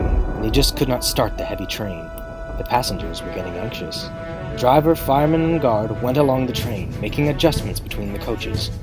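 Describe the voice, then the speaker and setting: A man narrating a scripted children's story in a theatrical, emphatic storytelling manner. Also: mid-range voice. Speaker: storybook reader. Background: music.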